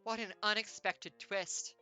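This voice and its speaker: A woman's voice: medium pitch, fairly even intonation, a little slow, sharp tone, soft background music. A woman streamer reading a choose-your-own-adventure game aloud, with playful, wry jokey asides.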